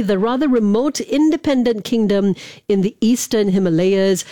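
A woman's voice: medium pitch, warm tone, no room sound, clean studio sound. Singaporean woman hosting a radio chat. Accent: Singaporean English. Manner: chatty, friendly questions with local slang. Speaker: radio host. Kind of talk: radio interview.

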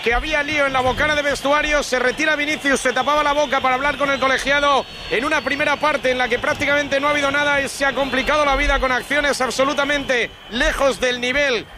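Man, football commentator, very high voice, fast, exclaiming, stadium noise. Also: steady tone. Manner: exclaiming, talks over others. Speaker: play-by-play commentator. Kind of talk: excited live football commentary.

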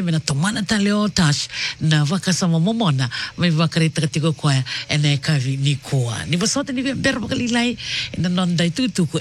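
A man's voice: on the high side, a little hiss or hum. A man radio announcer talking enthusiastically, switching between languages.

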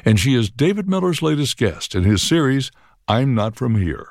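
Radio guy reading the intro, a bit gravelly, dry studio sound. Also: medium pitch, sing-song, normal speed, dry recording. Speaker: radio announcer. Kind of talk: scripted introduction.

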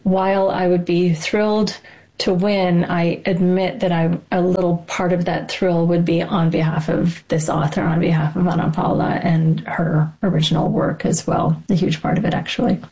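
A woman's voice: fairly low pitch, flat delivery, normal speed, dark tone, low-quality recording. A woman translator being interviewed, speaking earnestly and reflectively.

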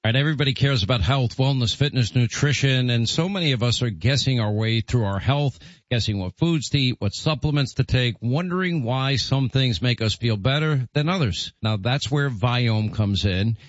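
A man's voice: medium pitch, normal speed, dry recording, dull audio. A male radio host doing a live-read ad in an upbeat, persuasive way.

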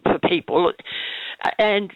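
A woman calling a talkback radio show, croaky from a cold, halting and earnest with many restarts. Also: mid-range voice, steady tone, dry recording, lo-fi sound. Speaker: caller. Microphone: phone line.

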